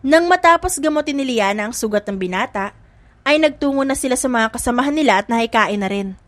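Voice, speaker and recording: High-voiced woman narrating a dramatic story. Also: animated, fast, dry recording. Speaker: audiobook narrator.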